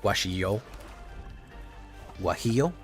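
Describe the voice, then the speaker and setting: Brief: young adult man, deep voice, easygoing streamer banter over faint background music. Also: slow pace. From Chile. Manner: relaxed, chatty, reacting to chat. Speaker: streamer playing a card game. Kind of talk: casual live-stream commentary.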